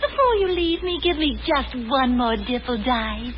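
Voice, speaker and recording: A man's voice: extremely high pitch, animated, normal speed. A man voicing a lovestruck young woman in an exaggerated, gushing way for a 1940s radio comedy. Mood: cooing. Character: lovestruck young English sweetheart woman, cooing. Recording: muffled old radio broadcast, hissy, audience present.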